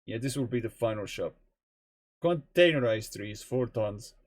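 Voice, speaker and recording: A young man muses aloud at an unhurried pace, his pitch swinging widely from line to line. He talks in a loose, chatty way and swears casually. The recording is dry and close, with no room sound. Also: expressive, no echo. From Estonia. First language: Estonian. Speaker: streamer. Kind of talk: rambling gameplay commentary.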